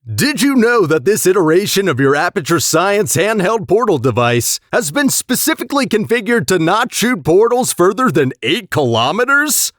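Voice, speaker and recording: High-pitched, formal machine test announcer. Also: male voice, very animated, normal speed, fairly bright tone, dry recording, clean studio sound. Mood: informative, bright. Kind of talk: scripted facility announcement in a video game.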